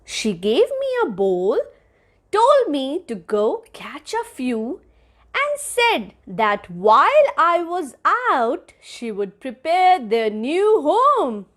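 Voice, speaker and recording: A woman reading a children's story aloud in a deliberate, expressive, teacherly way for young listeners. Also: high-pitched. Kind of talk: children's read-aloud narration.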